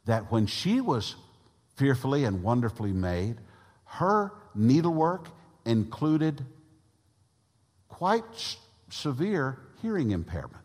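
A man preaching a sermon to his congregation, with a big voice that swings between loud and soft emphasis. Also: mid-range voice, big pitch swings, slow pace. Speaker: pastor. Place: church sanctuary. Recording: long reverb of a large church hall.

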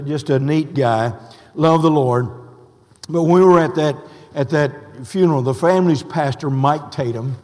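Older pastor, scratchy hoarse voice, easygoing sermon w lots of uhs, big echoey church. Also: male voice, mid-range voice, a little mellow. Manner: folksy, unhurried preaching with many "uh" fillers. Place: church sanctuary. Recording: long reverb of a church hall.

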